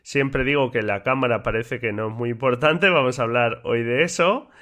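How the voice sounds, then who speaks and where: A man hosting a solo podcast in a relaxed, chatty way with many fillers, his voice slightly stuffy and congested from a cold. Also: medium pitch, normal speed. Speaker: podcast host. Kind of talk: casual solo podcast talk.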